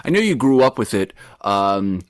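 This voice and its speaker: A man's voice: lowish voice, big pitch swings, moderate pace, no echo, clean studio sound. A man hosting a casual interview, friendly, joking and enthusiastic. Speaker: host.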